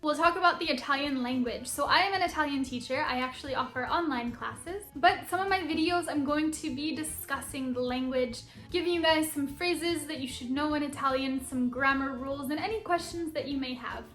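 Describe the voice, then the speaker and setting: An enthusiastic young woman from Philadelphia chats brightly to camera about her channel. Her voice sits high and rings around an echoey room. Soft music plays faintly underneath. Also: a little fast, hardly any pauses. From Philadelphia, United States. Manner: enthusiastic, bubbly, laughs often. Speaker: vlogger and Italian teacher. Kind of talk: upbeat vlog introduction to camera.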